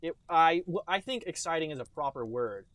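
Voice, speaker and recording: A man's voice: a little high, lively intonation, a little fast, fairly bright tone, no room sound. A man hosting a comedy podcast in casual, joking banter. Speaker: podcast host.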